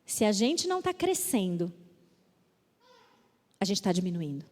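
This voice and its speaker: A woman's voice: medium pitch, big pitch swings, unhurried, darkish tone. A Brazilian woman speaking at a women's church conference, reading scripture aloud with emphasis and playfully mimicking excuses. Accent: Brazilian Portuguese. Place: church auditorium. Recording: reverberant church hall.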